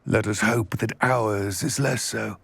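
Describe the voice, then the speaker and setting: A man voicing a solemn, measured male goblin ally in a video game, speaking in a formal, grave and deliberate way with a husky voice. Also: fairly low pitch, fairly even intonation, a bit bright, no room sound, heavily acted.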